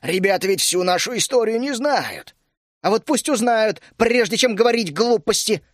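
A man's voice: high voice, expressive, moderate pace, no echo, voice pushed up. Placid bald gnome husband, chuckling and homely, voiced by a male reader; dry close sound. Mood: soothing.

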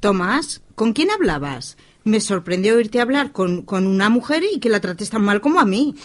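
An actress playing a fretful, complaining wife from in a radio drama. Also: female voice, medium pitch, big pitch swings, moderate pace, close to an everyday voice. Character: nagging worried wife.

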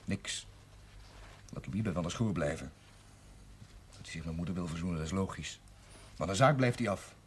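An actor playing a stubborn, resentful young grocer in a 1970s television drama, blunt and terse. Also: male voice, low-pitched, expressive, normal speed, long pauses. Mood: determined. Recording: noisy 1970s television soundtrack.

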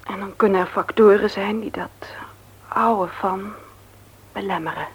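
An actress playing a gentle, tactful woman who is reluctant in love, in a radio drama. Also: medium pitch, fairly even intonation, measured pace, husky. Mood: careful, regretful. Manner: hesitant, tactful, careful phrasing. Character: gentle, reluctant woman turning down her suitor. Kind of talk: intimate radio drama dialogue.

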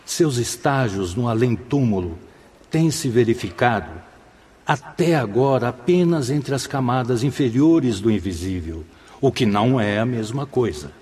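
A man's voice: medium pitch, lively intonation, unhurried, darkish tone, husky. An actor playing a fatherly, solemn spirit mentor who teaches formally and measuredly in a radio drama. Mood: solemn, instructive. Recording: long reverb, music behind.

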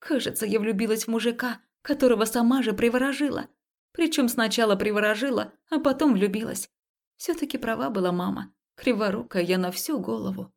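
A woman narrating a first-person romance story as an audiobook, expressive and confessional, acting out the dialogue. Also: a little high, brisk, gravelly.

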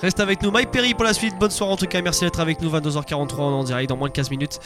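Fast-talking radio host. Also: male voice, on the high side, steady tone, over music. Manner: rapid, joking, chatty. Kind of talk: live radio presenting. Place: radio studio.

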